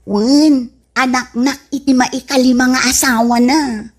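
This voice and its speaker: A woman playing a giddy, clingy flirt in an Ilocano comedy radio drama, with an Ilocano accent and a giggly, drawn-out teasing delivery. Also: sits high, fairly even intonation, husky. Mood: matter-of-fact.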